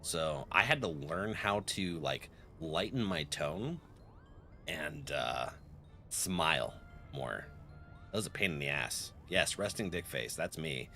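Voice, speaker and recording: A man with a very deep but bright, forward voice chats casually on a game stream, laughing easily and keeping things relaxed. His pitch swings widely and expressively, and faint music plays behind him. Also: slow. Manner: animated, laughs often, easygoing. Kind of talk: casual live-stream chat.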